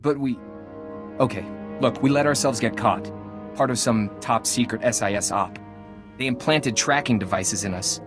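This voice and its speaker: An actor playing a dutiful, guarded captured lieutenant in a video game, speaking in an earnest, hesitant military manner. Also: male voice, medium pitch, normal speed, over music, lightly acted.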